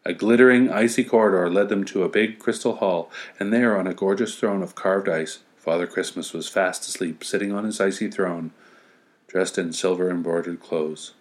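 A man's voice: deep voice, normal speed. A man reading a story as narration, with wry, playful asides. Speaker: story reader.

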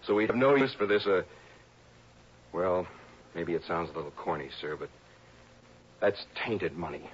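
An actor playing an earnest, polite young divinity student in a 1960s radio drama, deferential and hesitant. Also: male voice, sits low, normal speed, long pauses, close to an everyday voice. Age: young adult. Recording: muffled, faintly noisy old radio recording.

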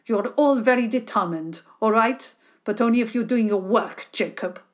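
A woman's voice: on the high side, moderate pace, natural voice. A woman reading aloud, voicing a man who works as a tutor, strict but fair, speaking in a precise and clipped way. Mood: stern.

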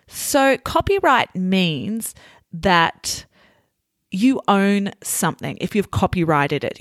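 A woman hosting a podcast for learners, explaining words slowly, patiently and simply. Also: medium pitch, dry recording, studio quality. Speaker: podcast host for English learners. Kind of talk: slow, clear explanatory teaching talk.

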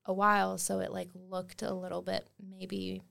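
A woman's voice: medium pitch, monotone, normal speed, vocal fry, fairly bright tone. A young woman from Wales raised in Canada, a musician guest chatting casually on a podcast, full of fillers and trailing phrases.

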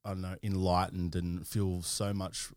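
A man hosts a relaxed interview, his voice sitting very low. He speaks in a halting, effusive way, stumbling over his words. The sound is dry and close to the mic. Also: dry recording. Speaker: host. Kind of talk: casual interview.

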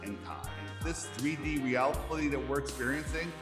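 An insistent, scornful man argues his case in a hard-edged monologue. His voice rings with heavy echo while loud music plays beneath it, as if cut into a remix. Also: mid-range voice, moderate pace. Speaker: flat earth speaker in a played clip. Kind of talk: emphatic argumentative monologue.

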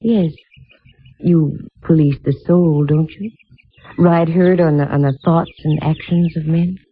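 A woman's voice: low-pitched, measured pace, slightly rough, exaggerated voice. Poised woman private eye, narrow old-radio sound. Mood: candid, thoughtful. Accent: American. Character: poised, inquisitive American female private investigator. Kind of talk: 1950s radio drama acting and first-person narration. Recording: muffled, narrow-band vintage radio recording with music.

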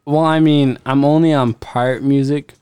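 A young man, drunk and loose, chatting with friends on a podcast. He rambles, swears freely and talks at an ordinary pitch. A faint hiss sits under the recording. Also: fairly even intonation, moderate pace, darkish tone. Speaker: podcast guest. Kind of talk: casual drunken chat.

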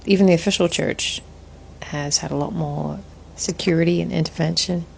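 Low creaky-voiced woman, thoughtful. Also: steady tone, slight background noise, rough recording. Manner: thoughtful, measured, with frequent restarts. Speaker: guest. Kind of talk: reflective interview conversation.

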